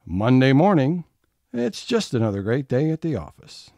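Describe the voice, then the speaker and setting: A man's voice: medium pitch, very animated, moderate pace, gravelly, a little mellow, dry recording, clean studio sound. A man playing a wry narrator who sets the scenes in a scripted audio comedy. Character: wry storybook-style narrator setting each comic scene.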